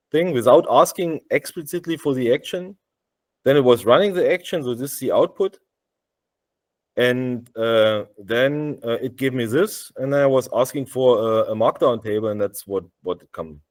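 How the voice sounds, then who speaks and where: German-accented man giving a halting demo. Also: mid-range voice, a little mellow, no room sound. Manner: hesitant, many uh fillers and restarts. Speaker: software developer presenting. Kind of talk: live screen-share demo on a video call. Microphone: video call.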